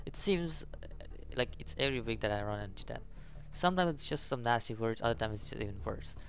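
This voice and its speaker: Man, gaming commentator, creaky voice, medium pitch, reading aloud, stumbling, reactive asides, faint music. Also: lively intonation, quick pace, fairly bright tone, a little hiss or hum. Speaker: gaming channel host. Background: faint game music.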